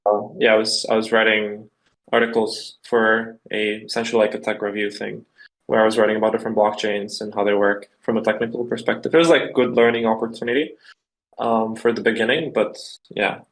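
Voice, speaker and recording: A man's voice: fairly low pitch, level tone, brisk, rough recording. A young man from the Czech Republic, answering interview questions in a loose, rambling way with lots of fillers. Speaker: guest.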